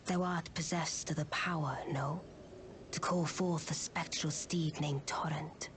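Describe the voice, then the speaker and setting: An aloof witch of a fantasy game, speaks in a breathy hush. Her lowish, deliberate voice carries archaic, courtly phrasing, with clear ambience behind it. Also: steady tone, a little hiss or hum. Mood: aloof, musing. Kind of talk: fantasy video game character acting.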